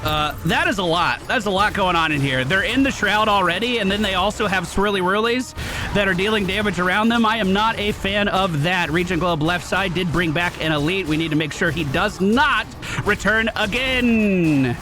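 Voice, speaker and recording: A man's voice: high-pitched, normal speed. A man giving casual, chatty commentary while he plays a video game, now and then swearing or laughing. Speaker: gaming video creator. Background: game music.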